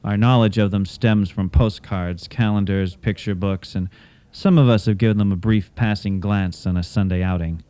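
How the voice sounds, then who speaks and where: A man hosting a radio theatre show, delivering scripted, literary narration in a measured, dramatic way. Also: lowish voice, steady tone, warm tone. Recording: cassette of radio show, music behind.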